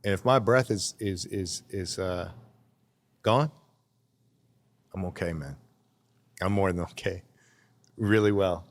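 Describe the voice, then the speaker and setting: A man's voice: low-pitched, takes it slowly, pauses often, long reverb tail. A man talking as a guest in a casual interview, rambling and repeating words as he searches for his thoughts. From Canada.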